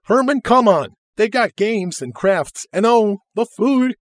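A man voicing a chubby, cheeky younger brother in an audiobook, talking in a breezy, excitable way. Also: high voice, unhurried, dry recording, studio quality, voice pushed up. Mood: excited.

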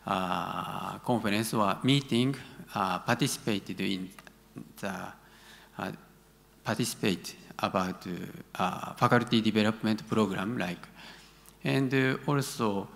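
A middle-aged man with a Japanese accent, a native Japanese speaker answering conference questions haltingly, searching for words. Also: fairly low pitch, gravelly, a bit bright. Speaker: conference presenter, university academic. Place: large conference hall stage. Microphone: gooseneck podium microphone. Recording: reverberant large auditorium.

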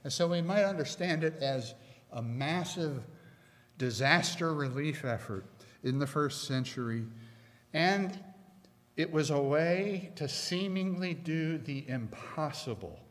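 Slow, emphatic middle-aged male preacher. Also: a little high, expressive. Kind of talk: sermon. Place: church sanctuary, at a pulpit. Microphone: pulpit microphone. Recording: long church reverb.

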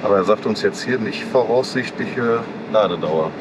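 A man's voice: lowish voice, normal speed. A man talking casually while he drives a car, with hesitant ums between thoughts. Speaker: car vlog co-host, driver. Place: inside a moving car. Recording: loud road and engine noise.

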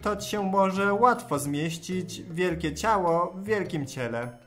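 An actor voicing a clever talking cat in a rhymed fairy-tale audio drama, polite and coaxing in deliberate verse. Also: mid-range voice, animated, a bit bright. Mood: sly coaxing. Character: clever, courteous, cunning talking tomcat in boots.

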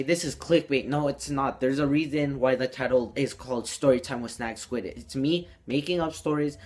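A teenage young man telling a story casually to camera in a small room indoors, as a vlogger. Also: mid-range voice.

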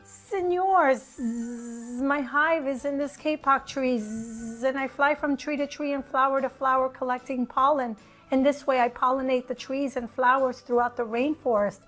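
A woman's voice: high voice, steady tone, unhurried, fairly bright tone, faint music behind. A woman voicing a busy little bee in a storybook, with buzzing between phrases. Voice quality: buzzing zzz sounds.

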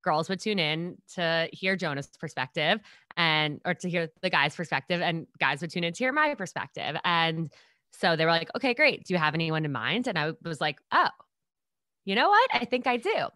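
A woman's voice: on the low side, very animated, moderate pace, bright, forceful tone, no echo, clean studio sound. A woman in her twenties from New York, a podcast guest telling stories in casual chat and acting out what people said. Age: 20s. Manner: bubbly storyteller, acts out quotes.

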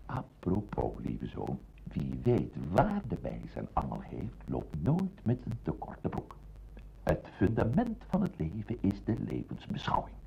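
A man's voice: sits low, wildly swooping pitch, measured pace, creaky, warm tone, a little hiss or hum, exaggerated voice. An actor playing a pompous, eccentric father who declaims nonsense wisdom in an absurd comic radio play. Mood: pompous, lecturing. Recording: muffled old radio recording.

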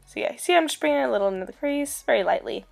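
A young woman in her twenties with a raspy voice from a cold, chatting upbeat through a makeup tutorial as a beauty vlogger. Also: sits high, lively intonation, very fast, faint music behind.

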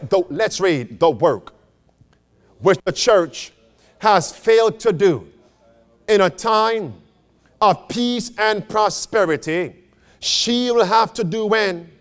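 A man preaching a sermon as a pastor, repeating phrases for emphasis and putting questions to his listeners. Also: high-pitched. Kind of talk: sermon with scripture and quotations read aloud.

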